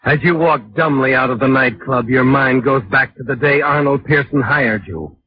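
An actor playing a sardonic, taunting narrator in dramatic 1940s radio mystery style. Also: male voice, mid-range voice, moderate pace, slight background noise, natural voice. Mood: mocking, insinuating. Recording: dull vintage radio recording, music behind.

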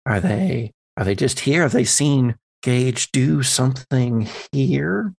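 A man's voice: mid-range voice, animated, slow, creaky, darkish tone, breathy edge. A man acting as game master, explaining the rules to his players and guiding them with questions. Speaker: game master and podcast host. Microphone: close personal microphone on a remote group call.

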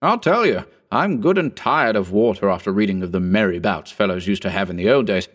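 A man's voice: lowish voice, expressive, a little fast, breathy edge. A man voicing a brash, contemptuous young man in an audiobook reading. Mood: eager. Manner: boastful, contemptuous.

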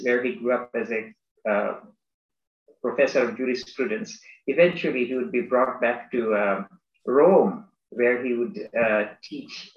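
Academic man from Bangladesh, reflective and halting, echoey lo-fi room. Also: medium pitch, fairly bright tone. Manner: thoughtful, halting, many restarts and fillers. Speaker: business school academic. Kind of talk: conversational interview.

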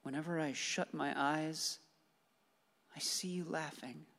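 An actor playing a stubborn, wounded husband with childlike hope and an insistent manner, in a radio play. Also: male voice, a little high, fairly even intonation, a little slow, vocal fry, a bit bright. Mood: plaintive. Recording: long hall-like reverb.

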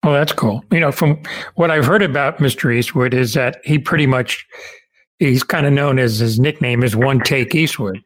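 A man hosting an interview in a casual, conversational way, somewhat halting as he forms his questions. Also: medium pitch, fairly bright tone, poor audio. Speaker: podcast host interviewer.

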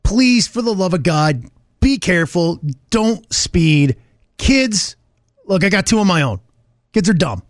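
A man's voice: sits high, expressive, a little slow, no room sound. A middle-aged American man co-hosting a casual radio show, emphatic, opinionated and joking, close on a studio microphone.

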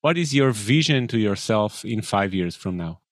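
A man's voice: lowish voice, animated, normal speed, dry recording, studio quality. Earnest man hosting a podcast. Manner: earnest, wordy questions with fillers. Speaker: podcast host. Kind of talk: interview hosting.

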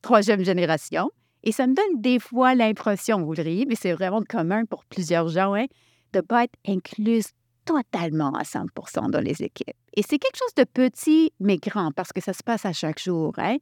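A woman from Quebec leading a workshop, talking in an animated way and restarting her sentences often. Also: mid-range voice, normal speed, no room sound, clean studio sound. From Quebec, Canada. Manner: animated, thinks aloud with many restarts. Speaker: workshop facilitator. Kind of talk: workshop talk.

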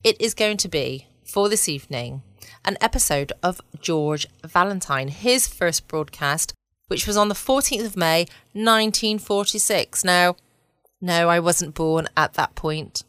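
A woman's voice: lowish voice, animated, unhurried, a bit bright, clear, resonant tone, no room sound. A woman with an English accent hosting a late-night bedtime podcast in a cosy, chatty way with playful asides. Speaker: podcast host. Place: at home, close and dry-sounding room.

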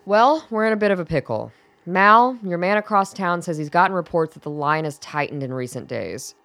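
A woman playing a sharp, guarded older doctor in a radio drama, speaking in a dry, formal, clipped way. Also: fairly low pitch, lively intonation, fairly quick, dry recording. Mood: guarded, businesslike. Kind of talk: audio drama acting.

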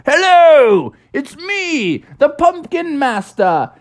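A storyteller voicing a cheerful, chatty talking pumpkin in a high, squeaky, excited voice. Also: slow pace, full character voice.

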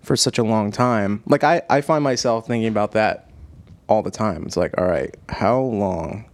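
A man hosting a podcast, chatting casually in an easygoing, halting way. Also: fairly low pitch. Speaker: podcast host, musician.

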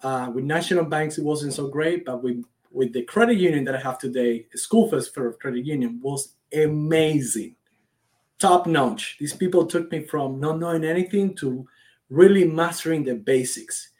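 A man with a Cuban accent and a slightly high voice answers as an interview guest. He is a native Spanish speaker, talking conversationally with earnest enthusiasm. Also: moderate pace, a little mellow. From Cuba. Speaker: guest, startup founder.

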